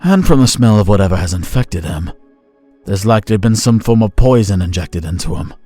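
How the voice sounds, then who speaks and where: Deep, grave fantasy investigator played by a man. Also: expressive, a little fast, dark tone, no room sound, faint music behind, close to an everyday voice. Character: grave, formal male fantasy investigator reporting. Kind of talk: fantasy audio drama acting.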